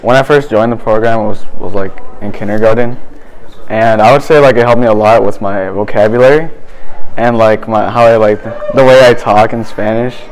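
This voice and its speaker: A man's voice: fairly low pitch, steady tone, moderate pace, a little hiss or hum, rough recording. A teenage boy answering an interview in a rambling way, with lots of fillers. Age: teens. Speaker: student interviewee.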